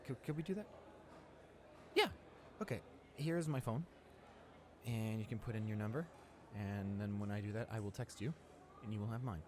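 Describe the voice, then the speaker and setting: A young man playing a friendly, eager high school senior in a roleplay audio drama, rambling enthusiastically with stammers and repeats. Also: normal speed, pauses often, lightly acted. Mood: tentative, friendly. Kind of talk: roleplay audio drama, casual one-sided conversation. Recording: clear background noise, ambience.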